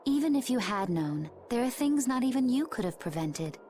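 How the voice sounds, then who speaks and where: An actress playing a calm, secretive and dutiful young woman warrior in a video game. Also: fairly high pitch, moderate pace. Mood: calm, grave. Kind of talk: scripted video game voice acting. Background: game music.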